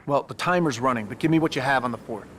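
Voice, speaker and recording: A man playing a brisk Navy SEAL commander in a military video game, clipped and authoritative. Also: mid-range voice, a bit bright, slight background noise, close to an everyday voice. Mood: decisive, businesslike. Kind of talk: cinematic military game acting. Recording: music behind the voice.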